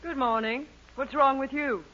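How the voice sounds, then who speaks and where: An actress playing a vain, excitable middle-aged mother in a 1940s radio comedy, theatrical and self-satisfied. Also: high voice, normal speed, noisy background, natural voice. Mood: haughty, proud. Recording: muffled 1940s radio recording.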